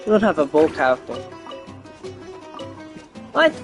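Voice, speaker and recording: Animated male game streamer. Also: on the high side, a little slow, over music. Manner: excitable, sweary reactions. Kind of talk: casual gameplay commentary.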